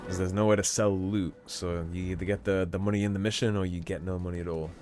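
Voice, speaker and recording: Casting: young male gamer, deep voice, easygoing let's-play commentary, music bed under him. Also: little pitch movement, quick pace. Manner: laid-back, chatty, mildly profane. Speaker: gaming video host. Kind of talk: casual gameplay commentary.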